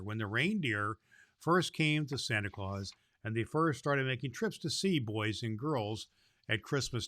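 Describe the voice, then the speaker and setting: A man playing a kindly old Santa Claus, hosting a children's Christmas show in a folksy, deliberate storyteller's manner. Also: mid-range voice, pushed and bright, dry recording. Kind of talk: scripted announcements and storybook reading for children.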